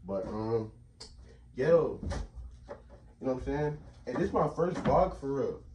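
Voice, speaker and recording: A man's voice: medium pitch, lively intonation, unhurried, background noise. An eighteen-year-old man from Texas, chatting casually and rambling to his viewers in a college vlog, talking into a phone camera in a small bedroom. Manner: rambling and slangy, lots of fillers, talks to chat. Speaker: college student vlogger and streamer. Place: small bedroom and bathroom indoors. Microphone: phone camera held at arm's length or propped.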